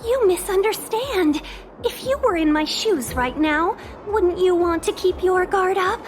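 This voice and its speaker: A woman's voice: extremely high pitch, lively intonation, unhurried, a little hiss or hum. A woman playing a courteous, coy young female trade envoy and guide in a video game, speaking politely and formally in honeyed tones. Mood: gracious. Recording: faint music behind the voice.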